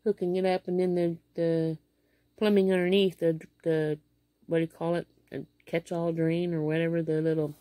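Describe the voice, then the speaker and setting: A woman's voice: lowish voice, level tone, moderate pace, warm tone, no room sound. A woman in her fifties with a Midwestern American accent, telling a rambling everyday story in a home vlog, full of fillers and restarts, filmed on a phone held close in a small bedroom. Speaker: vlogger. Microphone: phone camera held close below face.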